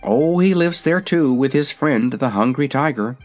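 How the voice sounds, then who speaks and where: A man's voice: medium pitch, lively intonation, normal speed, faint music behind, voice pushed up, close to an everyday voice. A man voicing a cheerful, confident young girl in a fairy-tale story. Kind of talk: storybook character voice.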